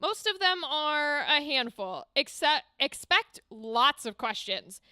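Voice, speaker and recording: A woman reading the lines of a dry, wry young woman in the passenger seat, in a calm, lower voice. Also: takes it slowly, dry recording, exaggerated voice.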